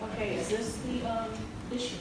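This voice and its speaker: A woman's voice: a little high, moderate pace, close to an everyday voice. A woman acting a composed, polite counselor who questions her clients in a stage play. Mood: polite, probing. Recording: very echoey stage, distant mic, noisy.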